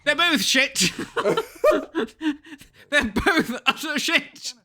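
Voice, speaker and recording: High, bright-voiced man, mocking. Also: animated, drawn-out words, a little hiss or hum. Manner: giggly, mocking. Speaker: co-host of a film commentary. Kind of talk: casual chat reacting to a film.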